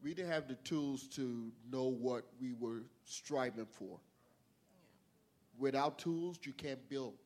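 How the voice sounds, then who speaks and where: A man tells his side of a marriage story before a church crowd. He speaks haltingly, repeating words and restarting as he hunts for the right way to say things. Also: medium pitch, darkish tone. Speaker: ministry leader sharing testimony.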